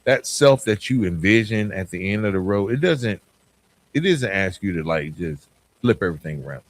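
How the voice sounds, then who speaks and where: A man's voice: fairly low pitch, animated, normal speed. A man hosting a podcast, speaking to listeners in an emphatic, conversational motivational monologue. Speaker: podcast host.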